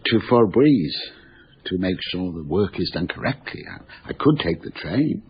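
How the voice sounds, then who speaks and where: An actor playing a deferential older manservant in a radio drama, hesitant, obsequious and quietly sly. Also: male voice, sits low, sing-song, measured pace, husky, exaggerated voice. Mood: eager, sly. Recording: dull lo-fi radio recording.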